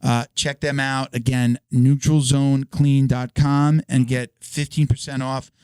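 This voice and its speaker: A man hosting a podcast in a casual, halting way, reading sponsor spots with a voice he is losing. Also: medium pitch, little pitch movement, darkish tone, smooth, no room sound. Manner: halting, restarts his read. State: hoarse, losing his voice. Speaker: podcast host. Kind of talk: casual sponsor read and banter.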